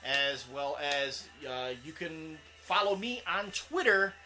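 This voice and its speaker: A man's voice: on the high side, very animated, slow pace, bright, forceful tone. A man, co-hosting a casual comics chat show, rambling with plenty of fillers. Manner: rambling, laughs often, many fillers. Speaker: co-host.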